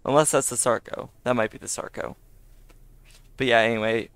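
A man with a raspy voice, hoarse from being sick, giving casual gameplay commentary, chatty, laughing often, with sudden excited outbursts. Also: medium pitch, moderate pace, a little hiss or hum. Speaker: gaming YouTuber.

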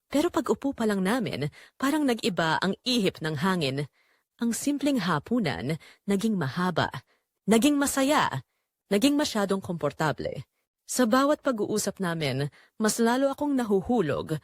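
A woman's voice: mid-range voice, no room sound, clean studio sound. A woman playing a guilt-ridden young woman who confesses a forbidden love in an emotive, dramatic audio drama narration. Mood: wistful.

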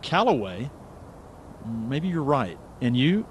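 A man's voice: medium pitch, sing-song, slow. Radio drama: man acting naive amateur sleuth, wry and stubborn, swinging intonation, slight background noise. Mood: uneasy.

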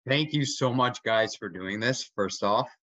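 A man's voice: lowish voice, measured pace, pushed and bright, no room sound. A young man in his twenties, an actor, asking an eager, winding question over a video call from a home kitchen. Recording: dull, dry video-call audio.

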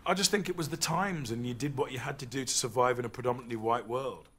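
Man, documentary interview, reflective, husky, living room. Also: mid-range voice, fast, fairly bright tone. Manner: reflective, mimics voices when quoting. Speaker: interviewee, comedian.

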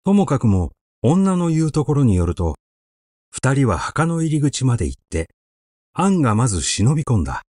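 A man reading literary fiction aloud as scripted narration, in a measured, dramatic storytelling manner. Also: medium pitch, big pitch swings, a little mellow, no room sound, studio quality. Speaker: audiobook reader. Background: music.

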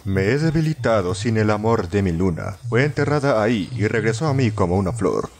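A man's voice: on the low side, lively intonation, a little fast, a little hiss or hum. A man voicing a solemn ancient witch-doctor spirit in a floating mask, with formal storytelling and a Latin American Spanish accent, in a dubbed short film. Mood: weary, grateful.

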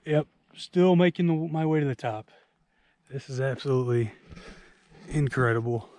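A man's voice: medium pitch, moderate pace, darkish tone. A man narrating his solo hike on a steep outdoor trail in short remarks between climbs, filmed on a camera he carries. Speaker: solo hiker filming his trip. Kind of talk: casual vlog narration while hiking.

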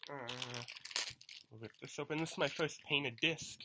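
A young man with a cold chatting excitedly through a toy unboxing at home, recorded on a camera mic. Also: mid-range voice, unhurried, very noisy. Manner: excited, chatty, self-deprecating. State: coming down with a cold. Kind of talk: casual unboxing commentary. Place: small home room, bedsheet backdrop.

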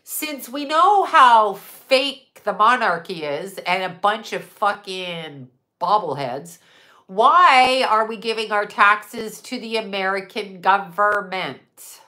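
A woman's voice: mid-range voice, expressive, slow pace, pushed and bright. A middle-aged woman hosting a live stream from a room at home, ranting bluntly with lots of swearing, her nose stuffy, picked up by a webcam at desk distance. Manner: blunt, profane, emphatic with hand gestures. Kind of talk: casual live-stream rant.

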